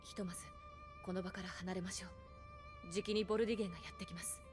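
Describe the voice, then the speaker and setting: A woman's voice: lowish voice, fairly even intonation, fast talker, close to an everyday voice. A woman voicing a dutiful, level-headed attendant, formal and deferential, in an anime game, over music. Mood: urgent. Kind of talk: Japanese anime game voice acting. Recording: music behind the voice.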